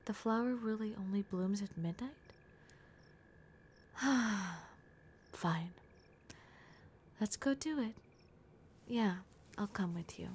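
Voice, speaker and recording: Woman doing a gruff caring werewolf girl, asmr roleplay, hushed n whispery, slow talking, noisy ambience behind. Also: mid-range voice, lightly acted. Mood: protective, soft. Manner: hushed, blunt, often slipping into whisper. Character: gruff, protective young werewolf girl, exasperated but caring.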